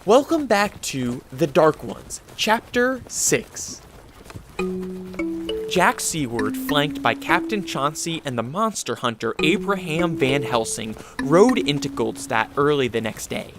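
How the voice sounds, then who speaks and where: A man's voice: a little high, very animated, slow. A man narrating a serialized radio drama in a scripted style. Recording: music behind the voice.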